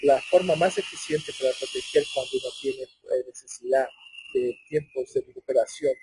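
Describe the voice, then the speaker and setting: A man's voice: medium pitch, moderate pace, darkish tone. A middle-aged man from Chile, whose first language is Portuguese, speaking Spanish with a Portuguese-tinged accent and giving a technical webinar in a conversational way, often restarting his words. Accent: Brazilian Portuguese-tinged Spanish. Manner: conversational, many restarts and self-corrections. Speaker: webinar presenter. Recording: muffled, hoarse-sounding office audio.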